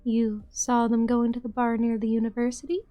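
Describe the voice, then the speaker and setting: Woman acting a poised, mellow-voiced schemer. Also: on the high side, flat delivery, fairly quick, faint music behind, natural voice. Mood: cool, musing. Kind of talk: amateur fan-dub game acting.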